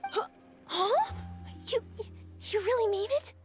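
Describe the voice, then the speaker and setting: Very high-pitched, bubbly young girl. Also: lively intonation, takes it slowly, faint music behind, heavily acted. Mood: flustered, surprised. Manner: perky, exclaiming. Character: bubbly, earnest, easily flustered young female game character. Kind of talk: video game character acting.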